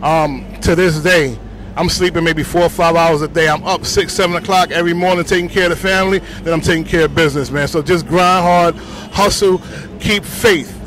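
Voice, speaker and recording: A man with a Bronx New York accent, a DJ talking casually in slang on a city street, full of shout-outs and "you know what I'm saying". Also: a little high, steady tone, a little fast. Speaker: DJ interviewed on the street.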